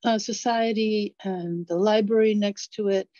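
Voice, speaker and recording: Middle-aged woman from Bulgaria, audience speaker, dark mellow tone, dry slightly dull audio. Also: medium pitch, steady tone, measured pace, no echo. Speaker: audience member. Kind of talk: community discussion comment.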